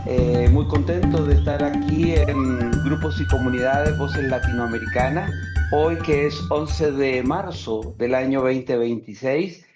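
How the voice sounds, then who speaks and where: A man hosting an interview over a video call, speaking in a measured, courteous way. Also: mid-range voice, over music. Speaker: interview host. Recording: dull lo-fi call audio.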